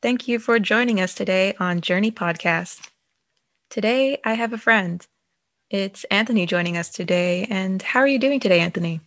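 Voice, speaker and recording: A woman hosting a podcast interview, asking questions slowly and deliberately. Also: mid-range voice, no echo. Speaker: podcast host. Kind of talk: interview host.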